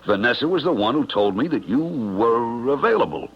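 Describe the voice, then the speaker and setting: An actor playing a desperate, pleading small-time con man in a radio drama, with a husky voice. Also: mid-range voice, expressive, moderate pace. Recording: muffled, lo-fi radio drama recording.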